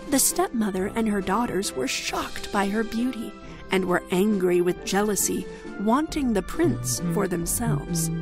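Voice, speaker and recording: A woman with an American accent narrating a scripted fairy tale for children. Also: a little high. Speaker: storyteller.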